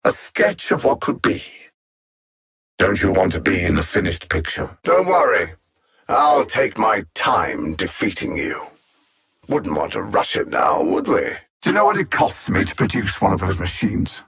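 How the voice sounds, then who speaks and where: A man's voice: low-pitched, sing-song, measured pace, fairly bright tone, husky, exaggerated voice. A man playing a pompous alien machine-intellect conqueror in a video game, coldly sardonic and formal, with theatrical menace. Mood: taunting. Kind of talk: scripted video game villain dialogue.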